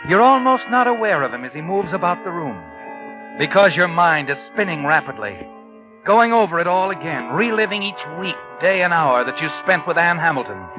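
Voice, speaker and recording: Sinister male narrator, 1940s radio mystery, dramatic, highish voice, dull old recording. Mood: knowing, brooding.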